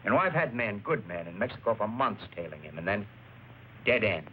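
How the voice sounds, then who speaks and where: Low-voiced film noir investigator, tinny recording. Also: male voice, big pitch swings, a little fast. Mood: weary, deliberating. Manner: clipped, commanding, hesitating on questions. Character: curt, world-weary male narcotics investigator boss interrogating suspects. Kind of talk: mid-century film noir crime drama acting. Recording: muffled narrow-band old recording, faint noise.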